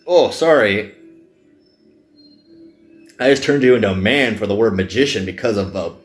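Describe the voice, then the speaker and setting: A man's voice: lowish voice, moderate pace, faint music behind. A man hosting a gaming video, chatting candidly in casual, wandering commentary. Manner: candid, wandering train of thought.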